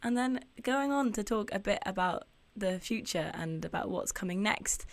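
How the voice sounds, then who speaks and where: A woman with a fairly low voice asks a musician about his tour and his plans. She talks in a chatty way and laughs easily between her questions. The sound is dry and close. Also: no echo. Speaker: radio interviewer. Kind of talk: interview questions.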